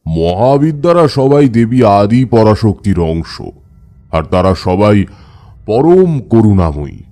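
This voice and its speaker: A man playing a seasoned tantric who tells his tales in a measured, reverent manner for an audio horror story. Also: lowish voice, very animated, dark tone. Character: Taranath, seasoned male tantric recounting his past.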